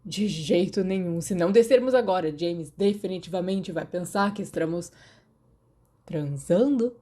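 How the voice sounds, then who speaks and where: A bashful teenage boy, played by a woman narrator in an audiobook. He is dry and deadpan, easily embarrassed, with a mellow tone. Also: on the low side, normal speed, close to an everyday voice. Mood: dry, exasperated. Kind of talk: audiobook character dialogue.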